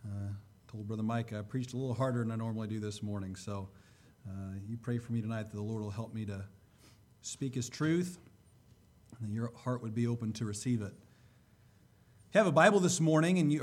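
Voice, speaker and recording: A man preaching a sermon and reading scripture, slightly hoarse, with a deliberate, emphatic delivery. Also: medium pitch.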